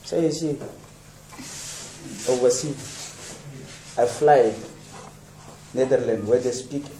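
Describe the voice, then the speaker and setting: A man's voice: medium pitch, takes it slowly, mellow. Distant, roomy Namibian-born male radio presenter. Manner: encouraging, rambling with many restarts. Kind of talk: informal talk to young people. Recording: roomy, distant, faint background noise.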